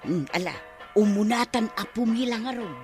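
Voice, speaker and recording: A woman's voice: on the high side, normal speed, close to an everyday voice. An actress playing a boisterous, food-grabbing village woman in an Ilocano radio comedy drama, with an Ilocano accent. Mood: cheerful. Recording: music under the dialogue.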